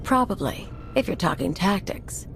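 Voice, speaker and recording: A woman's voice: mid-range voice, expressive, moderate pace, creaky, soft background music. A woman playing a brash, sardonic mercenary in a video game cutscene, slangy and casual about violence. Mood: sly, goading.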